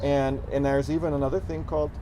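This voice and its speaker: A man's voice: on the high side, flat delivery, fast talker, warm tone, slight background noise. A man hosting a talk show interview in a studio. He jokes and hesitates, filling his speech with uhs. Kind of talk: casual interview conversation.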